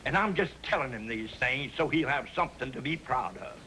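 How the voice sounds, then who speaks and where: An actor playing a boastful, excitable old prospector grandfather with a folksy American drawl in a 1950s television western. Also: medium pitch, a little fast, a little hiss or hum, rough recording. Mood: defensive. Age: older. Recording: old TV soundtrack with background music.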